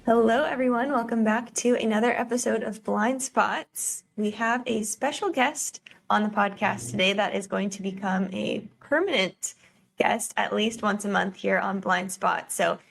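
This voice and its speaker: Upbeat woman host with a creaky voice. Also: mid-range voice, moderate pace. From Florida. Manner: upbeat, welcoming, conversational. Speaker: podcast host. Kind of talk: casual podcast chat.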